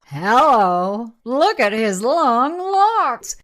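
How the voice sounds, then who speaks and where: A woman's voice: on the high side, big pitch swings, drawn-out words, a bit bright, full character voice. An actress playing a playful, exclamatory female companion in a radio play.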